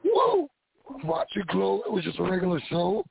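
A man from New York who has lost his voice, answering interview questions in a raspy, halting way with many pauses. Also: sits high, huge pitch swings, dry recording. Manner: halting, many ums and repeats. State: hoarse, lost voice. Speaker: guest wrestler. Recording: tinny phone line.

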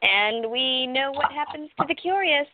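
A woman's voice: sits high, lively intonation, normal speed, slight background noise. A woman playing a protective, skeptical bodyguard, a proper military major, in a radio play. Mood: exasperated. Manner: skeptical, dryly deferential. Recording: thin, dry phone-like sound.

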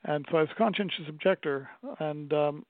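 A middle-aged man, a theater owner speaking as a radio interview guest, pausing often with fillers and restarting his sentences. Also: a little high, no room sound. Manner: halting, many fillers and restarts. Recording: dry, tinny phone-like line.

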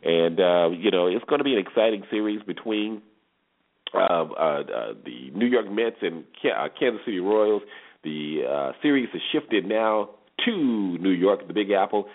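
Deep-voiced American sports host, phone line. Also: male voice, expressive, moderate pace. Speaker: sports talk show host. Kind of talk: conversational sports radio commentary. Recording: thin phone-like internet radio line.

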